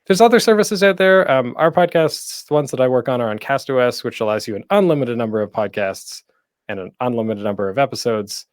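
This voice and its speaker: A man's voice: medium pitch, lively intonation, a little fast, no echo, studio quality. A man presenting an instructional panel in a casual, informal way, often breaking off into asides. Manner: informal, self-interrupting, tangential asides.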